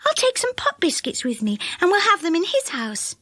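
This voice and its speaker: A woman's voice: very high voice, huge pitch swings, moderate pace, pushed and bright, no room sound, voice pushed up, heavily acted. A woman voicing a friendly, dainty young female elf in a children's storybook. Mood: friendly, bright.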